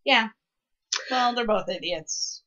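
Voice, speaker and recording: A woman giving casual critical commentary in a pedantic, halting way, on a lo-fi recording. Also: a little high, animated, sharp tone.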